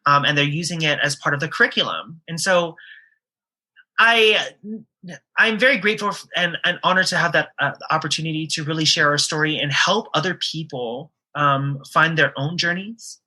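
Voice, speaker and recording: Bright-voiced woman guest, earnest and halting. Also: low voice. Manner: earnest, frequent um fillers and restarts. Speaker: podcast guest. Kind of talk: casual podcast interview.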